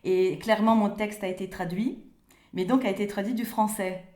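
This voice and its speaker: A woman's voice: medium pitch, steady tone, normal speed. Woman speaking French in a roomy space. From Italy. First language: Italian. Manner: hesitant, repeats words, soft laughs. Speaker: guest, playwright. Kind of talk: conversational interview. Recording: roomy echo.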